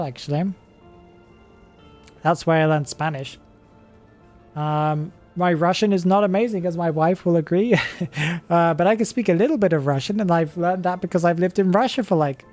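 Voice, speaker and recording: A streamer from the UK with a British accent, chatting casually on a live stream, reading viewer comments aloud, chuckling often and thinking out loud. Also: mid-range voice. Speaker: game streamer.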